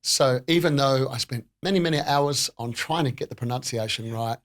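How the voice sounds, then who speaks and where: A man's voice: mid-range voice, normal speed, fairly bright tone. A man in his fifties hosting a podcast, talking in a reflective, slightly self-conscious way. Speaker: podcast host, pianist. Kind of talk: casual podcast conversation.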